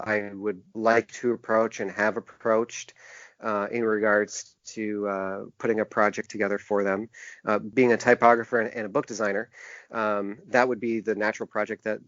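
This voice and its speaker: A man answering interview questions in a halting, earnest way. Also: on the low side, fairly bright tone, dry recording. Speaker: interview guest; typographer and book designer. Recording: dull, narrow-band lo-fi sound.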